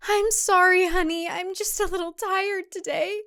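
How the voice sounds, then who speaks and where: A woman's voice: extremely high pitch, steady tone, moderate pace, pushed and bright, no echo, clean studio sound, pitched above the performer's own voice, full character voice. A woman voicing a weary, submissive and bewildered young wife in a thriller audio drama, emotional and apologetic.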